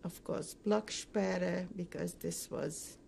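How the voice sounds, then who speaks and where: Older woman with a creaky voice, halting. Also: fairly low pitch, fairly even intonation, mellow, slight background noise. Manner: halting, pausing often mid-sentence. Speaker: Holocaust survivor giving testimony. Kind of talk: recorded oral history interview, recounting memories.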